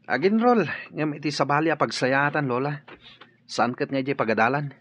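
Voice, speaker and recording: A man's voice: fairly high pitch, normal speed, a bit bright. A man playing a sulky young college dropout. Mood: defiant. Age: young adult. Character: restless young man quitting college for friends. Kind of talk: radio drama acting. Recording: dull audio with background music.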